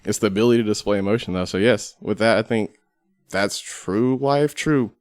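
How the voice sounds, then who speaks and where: A man's voice: lowish voice, normal speed, a little mellow, smooth, dry recording. A man as a podcast guest in casual discussion, explaining his distinctions step by step. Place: studio.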